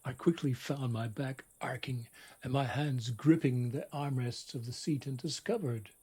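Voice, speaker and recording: A middle-aged man with a husky voice that often drops to a whisper, telling a scripted bedtime story in a wry, dramatic, deliberate way. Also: mid-range voice, mellow.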